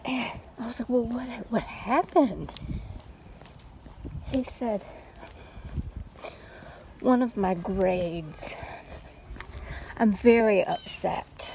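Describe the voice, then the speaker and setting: A middle-aged woman chatting casually in an unhurried, folksy way, like a mom telling stories while out on a walk. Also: a little high, rough recording. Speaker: storytelling vlogger and mother. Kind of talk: casual rambling chat. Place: outdoors, walking. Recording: steady background noise, outdoors on a walk.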